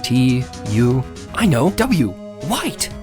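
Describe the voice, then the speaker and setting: An earnest young male attorney from a game drama, played by a man. He is dogged and expressive, his mid-range voice moving freely up and down. Music plays faintly underneath. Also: medium pitch, slow pace. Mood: startled discovery. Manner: musing aloud, then pointed and accusatory.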